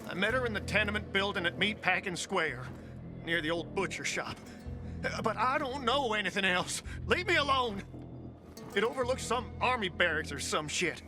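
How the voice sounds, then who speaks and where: A scared, slippery street reseller from a video game. His voice sits very high for a man and sounds defensive and nervous. Music plays clearly behind him. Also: lively intonation. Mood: grudging. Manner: nervous, defensive, fast-denying. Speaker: fence.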